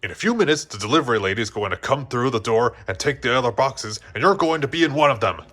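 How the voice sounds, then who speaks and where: A man voicing a gruff, resolute toy soldier in a storybook, giving emphatic orders. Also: mid-range voice, animated, fast talker, sharp tone. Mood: commanding. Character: gruff, commanding male soldier toy who never gives up.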